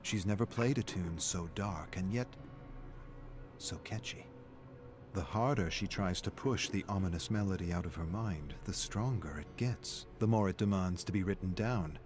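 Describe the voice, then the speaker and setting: A man playing a brooding narrator of dark game lore, reading with measured, deliberate drama. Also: low voice, music in the background, lightly acted. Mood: brooding, ominous. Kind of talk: dramatic scripted story narration.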